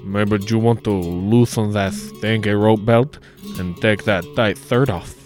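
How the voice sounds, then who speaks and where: Casting: male actor as creepy monastery priest, insistent, leering, drawn-out delivery, for comic radio play with music underneath. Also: fairly low pitch, darkish tone, lightly acted. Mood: leering, secretive. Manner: suggestive, oily, drawn-out delivery. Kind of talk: 1940s-style radio play acting.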